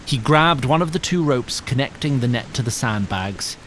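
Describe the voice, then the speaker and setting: An actor playing a wry, theatrical storyteller, narrating a fantasy tale in formal, florid language. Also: male voice, medium pitch, lively intonation, moderate pace, a bit bright, a little hiss or hum, close to an everyday voice. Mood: grave storytelling. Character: wry, theatrical storyteller addressing his listeners. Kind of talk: scripted dramatic fantasy narration.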